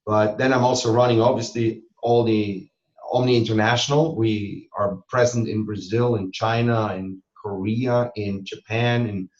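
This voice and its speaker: A man's voice: on the low side, fairly even intonation, moderate pace, darkish tone. A man from Switzerland, talking conversationally as a trainer being interviewed. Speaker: interview guest, hypnosis trainer and school owner. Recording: roomy echo, slightly dull sound.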